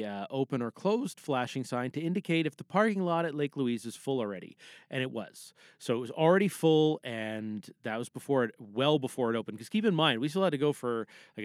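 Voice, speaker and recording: A man's voice: mid-range voice, dry recording, studio quality. A man hosting a podcast, talking in a chatty, rambling audio diary style. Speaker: podcast host.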